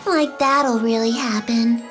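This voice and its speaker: A woman's voice: a little high, takes it slowly, music in the background. A woman voicing a curious, bookish little girl in a fantasy game, musing wistfully in a childlike way. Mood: curious, playful. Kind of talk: storybook fantasy game voice acting.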